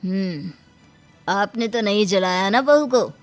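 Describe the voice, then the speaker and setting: A woman doing a stern male doctor in a cartoon, measured and serious, at a middle pitch. Soft music plays under him. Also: natural voice. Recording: faint background music.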